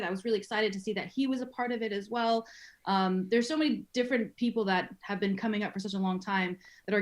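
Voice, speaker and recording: Excited woman filmmaker in a podcast chat. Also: mid-range voice. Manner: enthusiastic, fast, with laughs. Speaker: filmmaker guest. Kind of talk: casual podcast interview.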